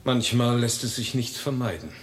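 An actor playing a tired, firm asylum doctor, brisk and authoritative, in a radio horror drama. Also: male voice, medium pitch, animated, roomy sound, big-room sound, lightly acted. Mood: weary, firm. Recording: music clearly behind the voice.

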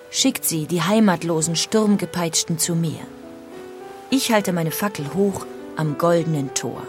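An actress playing an emigrant woman, reading with an earnest, lyrical, gravelly voice. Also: on the low side, a little mellow, natural voice. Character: emigrant woman recalling the sea voyage. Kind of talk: dramatized reading. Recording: music faintly underneath.

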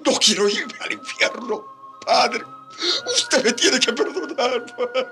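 An actor playing a terrified, dying Spanish conquistador who begs and weeps in a radio drama. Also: male voice, extremely high pitch, lively intonation, takes it slowly, gravelly, bright, forceful tone, soft background music, heavily acted. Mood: desperate, tearful. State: crying, gasping.

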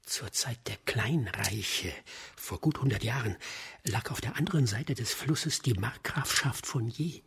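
An actor playing a deferential, knowledgeable aide to a judge in a German radio drama, with a rough, husky voice. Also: male voice, mid-range voice, measured pace, a bit bright, heavily acted. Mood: informative. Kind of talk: German radio play acting.

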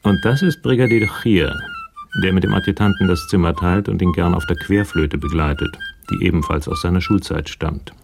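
A man narrating a crime radio play in a measured, matter-of-fact way, with a gravelly voice. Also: low voice, wildly swooping pitch, over music, exaggerated voice. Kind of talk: scripted radio drama narration.

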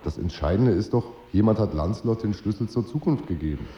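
A man's voice: fairly low pitch, animated, brisk, dark tone, lightly acted. A man playing a sober, doubting knight in a radio play, reasoning in a restrained way. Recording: muffled, echoing room.

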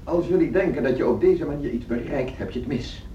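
A distant, echoing voice in a dull recording: a man playing an authoritarian conductor in a radio play. He is formal and condescending, with a fairly high pitch and little patience. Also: fairly quick, warm tone, a little hiss or hum, natural voice. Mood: threatening, angry. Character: stern, authoritarian music school orchestra conductor, losing patience. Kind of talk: radio drama acting.

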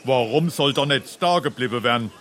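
An actor playing a wry, uneasy drinking companion in a Darmstadt dialect stage comedy. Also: male voice, medium pitch, a little fast, a bit bright, natural voice. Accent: Hessian, Darmstadt dialect.

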